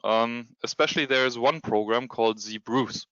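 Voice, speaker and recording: A man's voice: medium pitch, moderate pace, sharp tone, clear, resonant tone, no room sound, muffled recording. A man with a German accent giving a webinar presentation with screen share, hesitant, with many ums and restarts. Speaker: security consultant presenting a demo.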